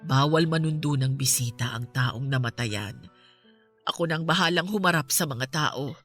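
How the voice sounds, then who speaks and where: A woman playing a loyal, comforting best friend in a Filipino radio drama, reassuring and steady, with a Filipino accent. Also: very deep voice, moderate pace. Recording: music behind the voice. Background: music.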